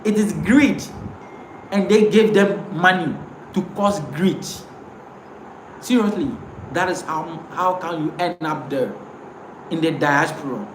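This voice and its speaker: A woman's voice: lowish voice, takes it slowly, pauses often. Ghanaian woman host, emphatic and preachy live talk, roomy sound with light noise. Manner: emphatic, repeats words, preachy.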